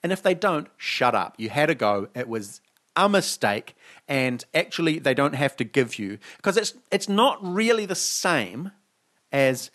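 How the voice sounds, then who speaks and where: A man's voice: mid-range voice, fairly bright tone. A man co-hosting a casual talk show, reading news aloud and ranting sarcastically, swearing freely. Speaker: podcast co-host.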